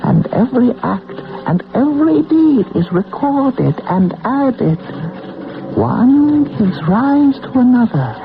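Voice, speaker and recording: A woman's voice: on the high side, sing-song, slow pace, full character voice. A woman playing a solemn, mystical old being who alters fate, speaking in a portentous, incantatory way in a vintage radio drama. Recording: muffled noisy old radio broadcast.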